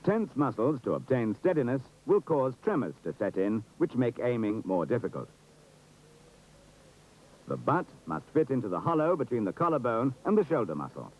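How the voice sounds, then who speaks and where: A man's voice: medium pitch, sing-song, moderate pace. A British man giving formal, precise, authoritative scripted narration for an instructional film. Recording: dull, muffled 1970s film soundtrack.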